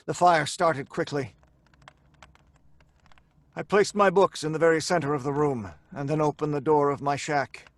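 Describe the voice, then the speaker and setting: An actor playing a tormented, guilt-ridden scientist, earnest and dramatic, in old time radio horror. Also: male voice, a little high, fairly even intonation, normal speed, pauses often, no room sound, close to an everyday voice. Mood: tense narrating. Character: tormented, guilt-ridden scientist telling his tragic story.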